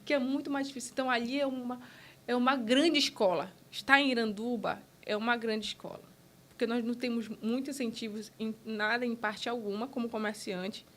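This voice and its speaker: A woman's voice: a little high, steady tone, brisk, fairly bright tone. A woman, a business owner speaking in an interview in an earnest, emphatic way, often restarting phrases. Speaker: podcast guest, business owner. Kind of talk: conversational interview.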